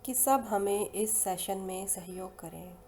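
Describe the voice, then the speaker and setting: An Indian woman leading a guided hypnosis meditation in slow, soothing, unhurried phrases with long pauses between them. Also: mid-range voice. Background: soft meditation music.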